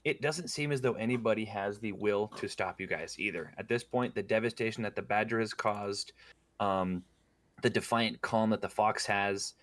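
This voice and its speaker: A man running a tabletop game, narrating scenes slowly, with frequent fillers. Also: on the low side, fairly bright tone. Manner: slow descriptive narration with fillers. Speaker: game master.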